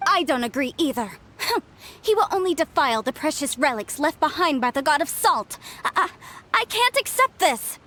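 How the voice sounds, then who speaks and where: High, eager young woman archaeologist, game dialogue, light score behind. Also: sing-song, moderate pace, bright, forceful tone, slight background noise, exaggerated voice. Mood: upset. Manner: earnest and easily stirred. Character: earnest young female archaeologist, idealistic, emotional. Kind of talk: video game voice acting. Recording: game score playing faintly.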